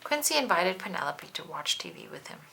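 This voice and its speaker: A woman's voice: lowish voice, very animated, fairly quick, vocal fry, pushed and bright, a little hiss or hum. A woman reading a children's storybook aloud, narrating expressively and dramatically. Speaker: children's book reader.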